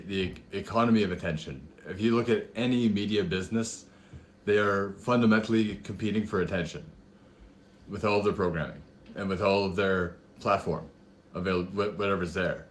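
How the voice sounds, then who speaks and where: A man with a deep voice that is a bit raspy today talks through his ideas on an online panel. He speaks through a headphone mic, and there is a faint hiss behind him. He often pauses and uses fillers as he works out his thoughts. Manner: thoughtful, halting, many fillers. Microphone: headphone mic on a video call.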